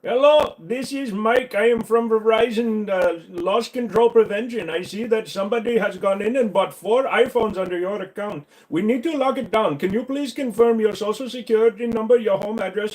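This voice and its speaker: A man's voice: high-pitched, a little mellow, voice pushed up, low-quality recording. A man doing a comic impression of an overly polite, pushy phone scam caller with a put-on Indian English accent.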